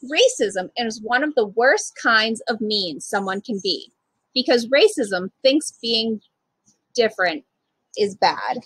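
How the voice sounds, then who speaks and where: A woman's voice: a little high, expressive, slow pace, a bit bright, slightly rough, no room sound, poor audio. A woman reading aloud to young children as a storytime reader, speaking to them directly in simple, child-directed phrasing.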